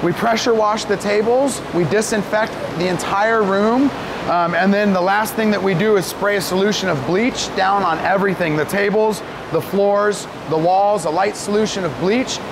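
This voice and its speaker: High-pitched American man over loud machinery rumble. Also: moderate pace, creaky. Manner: plain-spoken, step-by-step explaining. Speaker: company president giving a facility tour. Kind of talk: explanatory guided tour, unscripted talk. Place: large indoor grow room with fans running.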